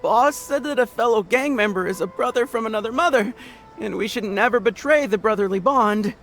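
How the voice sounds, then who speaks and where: A performer playing a nervous, cowardly young male gang underling in a video game, stammering and pleading excitably. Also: mid-range voice, brisk, faint music behind, close to an everyday voice. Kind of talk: scripted video game voice acting.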